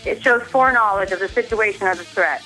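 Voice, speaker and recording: A woman calling in to a radio show, giving an earnest, emphatic and deliberate account in her own words. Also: mid-range voice, pushed and bright, faint music behind, poor audio.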